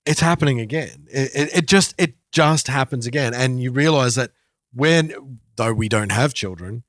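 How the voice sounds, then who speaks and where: A man's voice: medium pitch, moderate pace, fairly bright tone, no room sound. A man in his forties hosts a casual podcast conversation in a mid-range voice. He feels his way through sentences, repeating words as he goes, and the recording is close and free of room sound. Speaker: podcast host. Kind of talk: casual chat about music and culture.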